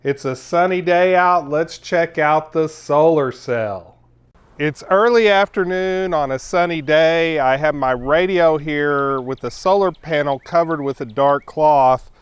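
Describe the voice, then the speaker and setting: A man narrating a product review as its host, explaining things in a measured, methodical way. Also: fairly high pitch, clean tone.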